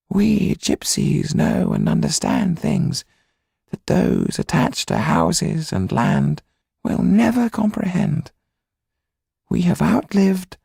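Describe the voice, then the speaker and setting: A man voicing a solemn older Romany mystic in an audiobook, with a whispery, gravelly, husky voice and slow, hushed storytelling. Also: high-pitched, dry recording, studio quality, voice pushed up, full character voice. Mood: solemn pride. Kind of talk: audiobook character reading.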